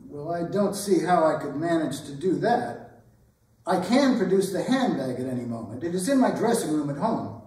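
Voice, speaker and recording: Man doing a polite nervous gentleman suitor in a stage comedy, earnest, a bit high voiced, far from the mic in a boomy hall. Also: very animated, normal speed. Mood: earnest pleading. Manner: polite, formal, apologetic. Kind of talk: theatrical readers' theatre performance of a period comedy. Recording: echoey hall, distant microphone.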